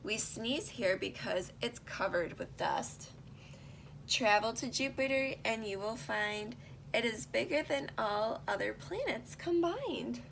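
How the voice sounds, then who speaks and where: A woman reading a rhyming picture book aloud to young children, pausing to explain and put simple questions to her listeners. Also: fairly high pitch, expressive, gravelly, fairly bright tone, slight background noise.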